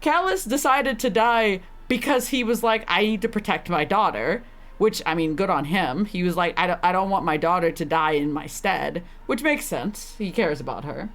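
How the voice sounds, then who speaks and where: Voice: medium pitch, animated, normal speed, fairly bright tone. Sweary, slangy game streamer. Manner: profane, slangy, incredulous. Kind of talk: casual live reaction commentary on a game.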